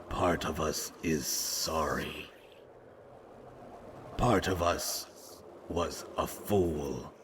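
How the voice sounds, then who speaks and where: A man voicing a fragmented monster in an audio drama, a menacing yet regretful servant who talks haltingly and ominously. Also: extremely low pitch, fairly bright tone, a little hiss or hum, full character voice. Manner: slow, halting, ominous, speaks of himself as "we". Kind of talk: scripted roleplay audio drama.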